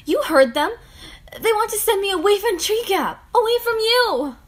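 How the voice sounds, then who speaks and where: A woman's voice: very high-pitched, lively intonation, normal speed. A woman playing a headstrong, defiant young girl who pleads emphatically in an audio drama. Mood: upset. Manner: emphatic, indignant. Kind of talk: fan audio drama acting.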